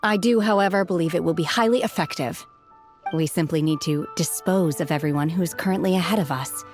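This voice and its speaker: A woman playing an aloof, solemn young woman in a video game, speaking in a formal, measured, deadpan way. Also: fairly low pitch, faint music behind, close to an everyday voice. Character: aloof, solemn young woman raised among mountain immortals.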